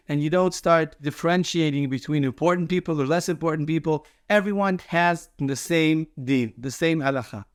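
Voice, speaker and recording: A man's voice: fairly high pitch, dry recording, clean studio sound. A man speaking as a rabbi, giving a short explanatory Torah teaching with emphatic repetition.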